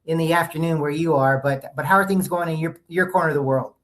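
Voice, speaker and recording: A man's voice: fairly high pitch, fairly even intonation, quick pace, a bit bright. A man from the United States hosting a podcast, chatting loosely with fillers before introducing his guest. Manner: friendly, loose, conversational with fillers. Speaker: podcast host. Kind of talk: casual podcast interview. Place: Texas, remote call.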